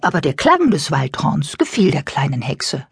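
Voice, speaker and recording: A woman narrating a scripted children's audiobook with expressive, careful storytelling. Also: deep voice, moderate pace, vocal fry, husky, no room sound, studio quality. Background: musical instruments and music.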